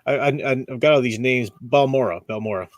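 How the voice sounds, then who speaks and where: A man's voice: mid-range voice, a little fast, dull audio. A man hosting a casual discussion stream, rambling, restarting sentences and groping for names as he talks. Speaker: podcast host.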